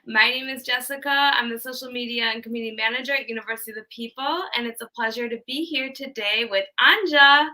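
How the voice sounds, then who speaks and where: A woman's voice: on the high side, fast, few pauses, sharp tone. A woman hosting a live online event, chatting in an upbeat way with many ums. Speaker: social media manager hosting a livestream. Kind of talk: livestream hosting.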